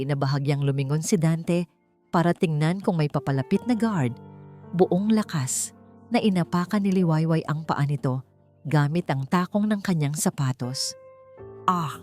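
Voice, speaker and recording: A woman's voice: deep voice, warm tone. A woman reading a scripted, dramatic romance story as its narrator. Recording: faint music behind. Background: faint music.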